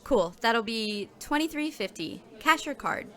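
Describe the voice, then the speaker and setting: A woman's voice: a little high, expressive, a little slow, a bit bright. A woman performing scripted audio drama narration as a nervous, overworked new coffee shop worker. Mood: flustered, polite. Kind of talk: scripted second-person narration.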